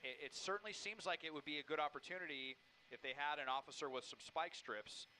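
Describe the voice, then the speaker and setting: Bright, forceful man reporting live over noise. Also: on the high side, rough recording. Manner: halting, improvised delivery. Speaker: helicopter news reporter. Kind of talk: live breaking-news pursuit commentary.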